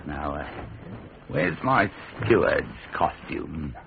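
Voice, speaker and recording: An actor playing a wry, familiar steward who addresses the audience directly in a radio drama. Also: male voice, a bass voice, big pitch swings, slow pace, vocal fry, a crowd in the background, exaggerated voice. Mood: wry, confiding. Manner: droll, theatrical direct address. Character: wry, familiar common-man narrator and household steward butler. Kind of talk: British radio drama acting. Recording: tinny band-limited radio recording.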